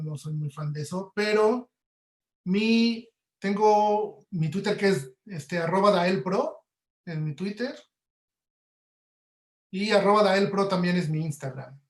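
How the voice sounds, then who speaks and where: A man with a Mexican accent giving casual answers as an audio producer being interviewed in an online webinar. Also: high-pitched. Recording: roomy, echoey sound.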